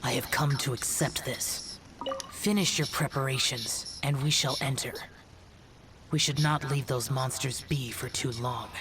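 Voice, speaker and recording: A man's voice: mid-range voice, steady tone, measured pace, noisy background, natural voice. A man voicing a stoic, ancient immortal warrior in a video game, speaking formally and broodingly. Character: stoic, youthful-sounding ancient male demon-slaying immortal, solemn and aloof.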